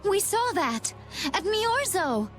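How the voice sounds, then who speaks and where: A woman's voice: very high-pitched, sing-song, slow pace, fairly bright tone, faint music behind, full character voice. A woman voicing a composed, caring and observant young woman warrior in a video game. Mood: grave.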